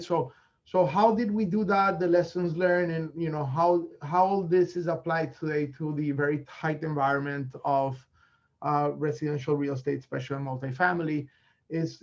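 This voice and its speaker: A native Spanish-speaking man with a Colombian accent gives a business presentation. His voice sits fairly high, and he talks in halting bursts full of fillers and restarts. The recording sounds dull and lo-fi. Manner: frequent fillers and self-corrections. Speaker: real estate investor presenting. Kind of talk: conference presentation.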